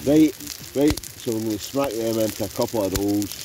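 A man's voice: on the low side, brisk, noisy background. A Scottish man chatting cheerily to viewers as he vlogs a night of campfire cooking outdoors. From Scotland. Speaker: vlogger. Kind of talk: casual camping vlog. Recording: outdoor campfire recording with music behind. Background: music playing, food sizzling.

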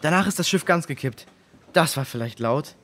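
A man playing a curious, excitable young boy, eager and plain-spoken, in a children's audio drama. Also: fairly high pitch, moderate pace. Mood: excited.

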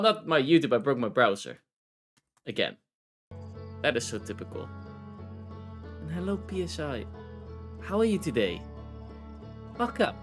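A man from the Netherlands with a Dutch accent, chatting casually and rambling while streaming a video game, laughing often. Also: on the high side.

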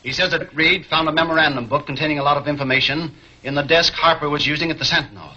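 Forceful older racket boss. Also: male voice, a little high, fast. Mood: curt, scheming. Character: hard, scheming older male racket boss. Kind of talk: 1940s film serial acting. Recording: dull 1940s film soundtrack.

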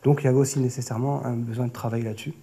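Mellow, hesitant French man presenting. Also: mid-range voice, fairly even intonation, very fast, slight background noise. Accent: French from France. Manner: hesitant, often repeating and rephrasing words. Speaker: flood prevention project manager, conference speaker. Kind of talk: conference presentation, semi-improvised. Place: auditorium stage at a lectern. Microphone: lectern gooseneck microphone.

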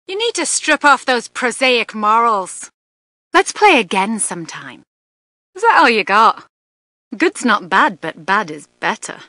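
A woman's voice: on the high side, sing-song, a little slow, bright, forceful tone, no echo, clean studio sound, full character voice. A woman voicing a seductive, teasing succubus in a fighting game, with a sultry, flirtatious and taunting manner. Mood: haughty taunting. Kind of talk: fighting game character voice lines.

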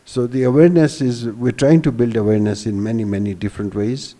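A man in his sixties explaining things as a panelist, halting and restarting words, speaking into a handheld microphone on stage. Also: medium pitch, fairly even intonation, dark tone, in a large hall. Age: 60s. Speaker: panelist, business executive. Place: hall stage before a seated audience. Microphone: handheld microphone held at the chest.

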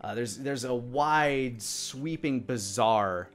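Halting male game master narrating. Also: medium pitch. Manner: halting, searching delivery. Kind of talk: improvised tabletop game narration. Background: faint music.

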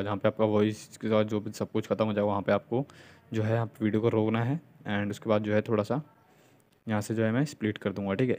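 Fast, even-toned young man giving a tutorial. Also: fairly low pitch. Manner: repeats check-in tags and filler phrases. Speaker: video editing tutorial host. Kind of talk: casual step-by-step screen tutorial narration. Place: voice-over on a screen recording.

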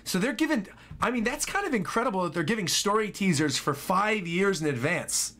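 A man in his twenties giving excitable, casual live commentary as a reaction streamer in a small room at home. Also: high-pitched, brisk. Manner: excitable, animated. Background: game trailer music.

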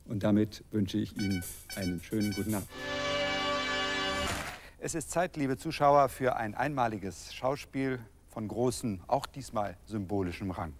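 Low male broadcast announcer. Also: animated, unhurried, loud music behind the voice. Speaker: TV presenter.

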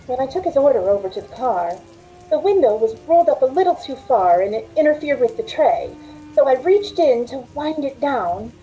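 A woman's voice: high-pitched, animated, moderate pace, warm tone, low-quality recording. A middle-aged woman playing a weary, wistful 1940s Hollywood carhop who confides her story in old-time radio drama style. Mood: uneasy. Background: rain.

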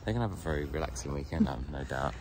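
A man's voice: rumbling low, rapid-fire. A man in his thirties with a southern English accent, chatting casually in a family vlog. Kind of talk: casual chat. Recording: faint noise, handheld camera.

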